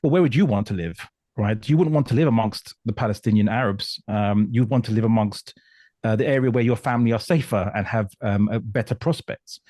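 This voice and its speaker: A man from Portugal speaking as a guest commentator in an explanatory discussion, emphatic and halting, often repeating words. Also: lowish voice, mellow, dry recording, clean studio sound. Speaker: guest commentator on religion and politics.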